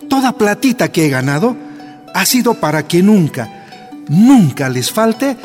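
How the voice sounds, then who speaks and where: Radio drama father: poor, loving, humble man, highish voice, lively melody, remembered through reverb. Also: measured pace. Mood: tender, solemn. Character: tender, humble, poor Bolivian father advising his daughters. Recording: long reverb, guitar music underneath.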